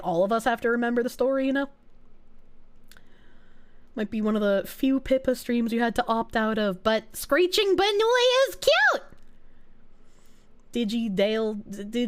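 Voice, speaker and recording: A woman with a Boston accent chats with her stream audience, her voice pitched high and swinging up and down. She rambles through tangents, swears without care and laughs often, and she has a slight cough from a cold she is getting over. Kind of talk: casual chat, reading and answering viewer chat.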